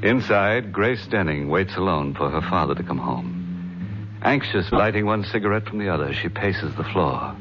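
A man's voice: low voice, expressive, normal speed, background noise. A man playing a ghoulish, tongue-in-cheek host of a 1940s radio horror show, theatrical and relishing the macabre. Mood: ominous narration. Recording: dull old 1940s radio recording. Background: music.

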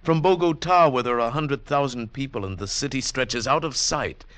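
An actor playing an earnest, intense adventurer recounting his ordeal in 1940s radio drama, in halting dramatic speech. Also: mid-range voice, a bit bright, no echo, close to an everyday voice. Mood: insistent.

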